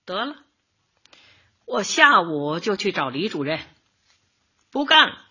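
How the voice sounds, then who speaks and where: Feisty, bright-voiced older woman, husky. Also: mid-range voice, big pitch swings, exaggerated voice. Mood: hurt, fed up. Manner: blunt, colloquial, quick to retort. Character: feisty older neighborhood woman, square-dance leader, proud and easily wronged. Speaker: lead actress in a radio comedy. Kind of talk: radio drama acting, light comedy. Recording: slightly muffled, dull audio.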